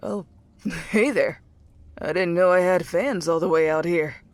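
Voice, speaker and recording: A woman's voice: on the low side, unhurried, no echo, faint music behind, lightly acted. A woman voicing a giggly, unsettlingly friendly young male inmate who mumbles and hesitates in an audio fan fiction drama. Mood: cheerful, welcoming. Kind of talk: acted fan fiction character dialogue.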